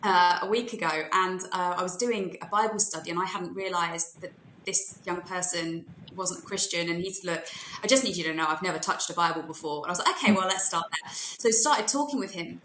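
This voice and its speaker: A woman's voice: on the low side, a little fast, fairly bright tone. A young adult woman, a youth worker, speaking earnestly and thoughtfully on a video call panel. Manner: earnest, thoughtful, recounts conversations. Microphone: video call webcam. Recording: echoey room with faint noise.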